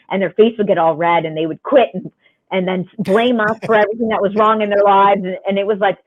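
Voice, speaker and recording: Raspy-voiced woman on a tinny line. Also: mid-range voice. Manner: frank, chatty, laughs often. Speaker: podcast guest. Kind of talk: candid conversational storytelling. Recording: tinny phone-line sound.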